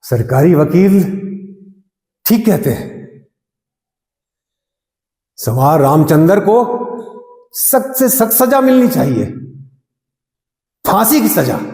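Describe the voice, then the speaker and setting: A man acting an impassioned, bitterly ironic army defence lawyer in a theatrical courtroom monologue, oratorical, with emphatic repetition and dramatic pauses. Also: high-pitched, darkish tone. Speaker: actor performing an audition monologue. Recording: echoey reverberant room.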